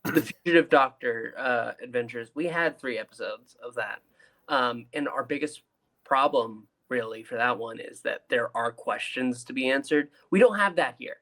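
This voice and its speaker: A man's voice: mid-range voice, normal speed, sharp tone. A man co-hosting a casual review chat, giving his opinions with emphasis. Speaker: podcast co-host reviewing audio dramas.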